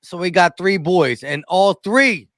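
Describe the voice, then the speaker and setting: A man's voice: high voice, animated, a little slow, fairly bright tone, dry recording, studio quality. A man in his thirties chatting casually as a sports show host, emphatic and given to repeating himself. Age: 30s. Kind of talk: casual chat.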